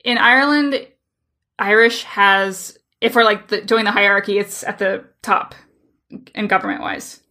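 Podcast host, woman, bright voice, chatty and casual. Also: mid-range voice, fairly even intonation, unhurried. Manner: chatty, laughs between phrases.

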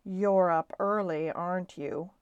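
A sneering male house cat from a children's story acted out by a woman reader. The voice is hushed and breathy, drawling its sharp taunts without any hurry. It is recorded close and dry. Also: on the low side, fairly even intonation, takes it slowly, no echo, lo-fi sound. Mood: sardonic.

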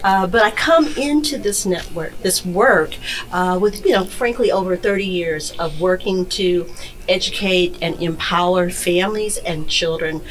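A middle-aged or older American woman answering as a podcast guest, earnest and emphatic, with deliberate phrasing. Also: fairly low pitch, rough recording. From Detroit, United States. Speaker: podcast guest, nonprofit chief executive. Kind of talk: conversational interview answers.

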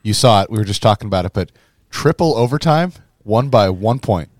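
Hoarse male priest co-host, low voice, chatty storyteller, dry close mic. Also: animated, brisk, darkish tone, no room sound. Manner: rambling, storytelling with laughs. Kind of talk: casual chat.

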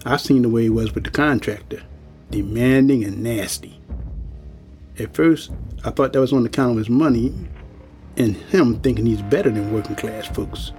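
A man's voice: medium pitch, normal speed, darkish tone, soft background music, natural voice. An actor playing a world-weary old working-class man in an audio drama, speaking in a folksy, plain-spoken, ominous way. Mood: grave, reflective.

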